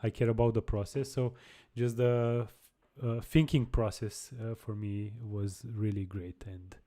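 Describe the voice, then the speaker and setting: Brief: young male developer, mellow low voice, halting relaxed chat with viewers. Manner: halting, many restarts and repeated words. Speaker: live-streaming developer. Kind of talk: casual live-stream chat answering viewers.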